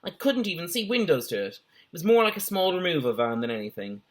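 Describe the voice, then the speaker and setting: A man's voice: on the high side, sing-song, fairly quick, pitched above the performer's own voice. A man voicing an earnest, agitated schoolboy telling a mystery in a children's story, emphatic and stumbling a little.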